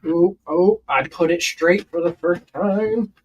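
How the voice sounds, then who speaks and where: Husky man, laid-back streamer. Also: high voice, takes it slowly, dull audio. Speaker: livestreamer. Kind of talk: casual livestream chat.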